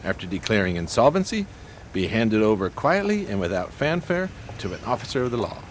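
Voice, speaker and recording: A man's voice: on the low side, sing-song, fairly quick, a little hiss or hum. An actor playing a scheming homeless man with genteel, pompous manners and florid diction in a radio drama. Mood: grand, savoring.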